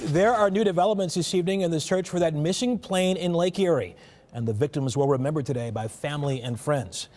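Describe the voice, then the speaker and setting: Evening news anchor, male, slightly high register, polished TV news presentation. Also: a little fast, darkish tone, soft background music.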